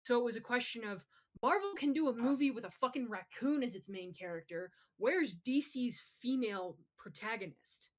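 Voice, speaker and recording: A woman's voice: fairly high pitch, animated, moderate pace. A woman co-hosting a podcast in casual, opinionated chat, talking emphatically and swearing freely. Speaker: podcast co-host. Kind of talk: casual chat, opinionated pop culture commentary.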